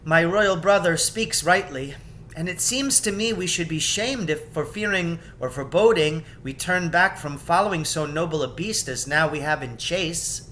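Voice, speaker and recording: A man's voice: moderate pace, sharp tone. A man voicing a grave, gracious young queen in a storybook fantasy, speaking in formal, stately, archaic phrases. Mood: resolved. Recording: background noise with low rumble.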